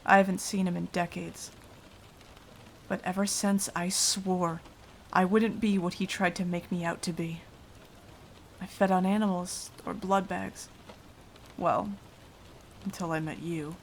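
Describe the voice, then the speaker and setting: Woman as a haunted, slow-speaking vampire. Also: on the low side, flat delivery, vocal fry. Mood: regretful, resolute. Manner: hushed, halting, dramatic, often dropping to a whisper. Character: haunted, remorseful female vampire confiding her past. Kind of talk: ASMR roleplay monologue. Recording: light rain noise behind voice. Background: rain.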